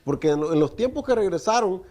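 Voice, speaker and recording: A man's voice: high-pitched, expressive, a little fast, a little mellow. A middle-aged man whose first language is Spanish, preaching a Torah lesson and asking the listeners rhetorical questions. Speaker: teacher of a Torah portion.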